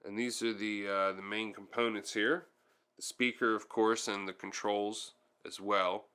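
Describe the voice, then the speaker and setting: A man's voice: lowish voice, fairly even intonation, a little slow, sharp tone, smooth. A man giving casual, hands-on how-to narration as a tutorial host, thinking aloud a little hesitantly as he goes.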